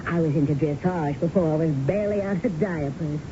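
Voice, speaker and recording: Lady playing fussy older southern wife in an old radio play, lowish voice, rambles and complains, muffled noisy tape. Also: close to an everyday voice. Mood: wry, resigned. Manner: rambling, complaining, drawn-out words. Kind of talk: 1970s radio drama acting.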